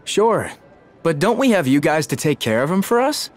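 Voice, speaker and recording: A man's voice: a little high, sing-song, moderate pace. A man voicing a casual, friendly teenage boy in a video game. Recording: music under the dialogue.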